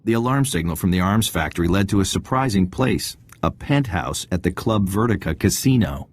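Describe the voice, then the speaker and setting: An actor playing a confident, wry military intelligence agent giving a mission briefing in a video game. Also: male voice, sits low, animated, normal speed, dry recording. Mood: assured briefing. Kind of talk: video game cutscene dialogue.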